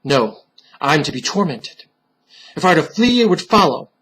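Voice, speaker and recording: Male reader as a wicked man hounded by guilt, husky and theatrical, fearful yet defiant, for gothic horror storytelling. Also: fairly high pitch, very animated, normal speed, a bit bright, full character voice, rough recording. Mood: bitter defiance. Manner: dramatic, tense muttering and outbursts. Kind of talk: dramatic storybook horror reading.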